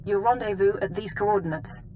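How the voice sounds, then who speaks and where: A woman's voice: mid-range voice, fairly quick, dark tone, lightly acted. Woman acting a composed intelligence officer, background noise. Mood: composed, businesslike. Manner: crisp, formal, businesslike. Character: composed, precise female intelligence analyst briefing an agent. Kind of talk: video game voice acting.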